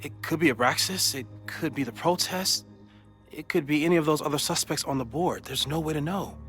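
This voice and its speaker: An actor playing a calm, cautious, scientifically minded man in a video game drama, reasoning in precise, formal phrases. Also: fairly high pitch, fairly quick, soft background music, natural voice. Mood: analytical.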